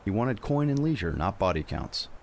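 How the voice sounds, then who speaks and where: A man's voice: fairly low pitch, sing-song, normal speed, creaky, a little hiss or hum. A man narrating a dramatic scripted adventure story as a storyteller.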